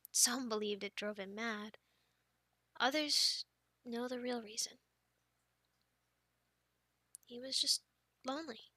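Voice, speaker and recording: Woman acting a high, bright-voiced playful young friend. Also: dry recording, heavily acted. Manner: expressive, teasing, breaking into breathy near-whispers. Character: playful young woman friend, pouty, defensive, telling campfire stories. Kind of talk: ASMR roleplay.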